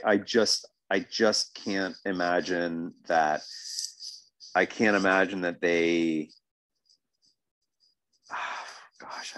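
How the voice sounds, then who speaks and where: Deep-voiced man on a casual podcast. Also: a bit bright, no echo, low-quality recording. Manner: emphatic, repeats words for emphasis. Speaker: podcast host.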